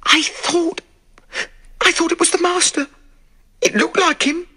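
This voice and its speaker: A man voicing a frightened young parlour maid in a high, shaky voice. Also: steady tone, measured pace, pushed and bright, exaggerated voice. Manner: breathless, shaky.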